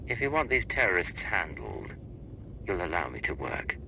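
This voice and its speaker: An actor playing a loyal, terse secret agent in a game cutscene, clipped and controlled. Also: male voice, low-pitched, big pitch swings, normal speed, pushed and bright, slight background noise, full character voice. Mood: guarded. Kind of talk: video game cutscene acting.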